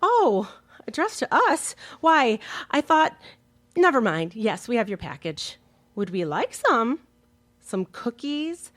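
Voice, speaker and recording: A woman acting an exasperated, sharp-tongued wife in a bickering radio comedy-drama, with pointed theatrical delivery. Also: on the high side, big pitch swings, slow, no room sound, exaggerated voice. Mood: falsely cheery.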